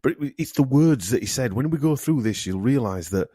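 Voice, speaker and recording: Husky-voiced man hosting a podcast. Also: mid-range voice, animated, a little fast, dark tone, no room sound, studio quality. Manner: emphatic and opinionated. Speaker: podcast host. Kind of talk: casual conspiracy chat.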